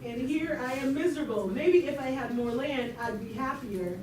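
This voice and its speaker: A woman's voice: high-pitched, moderate pace, darkish tone, close to an everyday voice. A woman playing a whining, self-pitying colonial planter in an amateur comic puppet show. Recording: echoey distant recording with clear noise.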